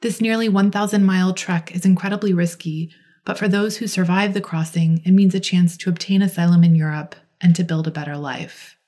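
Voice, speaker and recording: Woman podcast host, warm and low, reads a polished scripted opening. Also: fairly quick. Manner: smooth, even read-through intro. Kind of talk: scripted narration.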